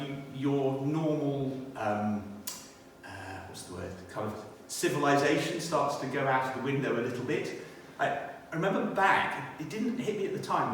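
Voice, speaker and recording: British male church speaker, testimony and scripture, thoughtful halting delivery; long reverb, faint background noise. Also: medium pitch. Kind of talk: personal testimony and scripture reading. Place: inside a church. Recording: echoey church hall, distant, faint noise.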